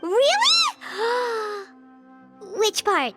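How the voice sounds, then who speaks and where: A woman voicing a tiny, excitable fairy girl in a video game, squeaky and childlike, full of questions and exclamations. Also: drawn-out words, soft background music, heavily acted. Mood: surprised. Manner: exclamatory, inquisitive. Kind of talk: cartoonish video game voice acting.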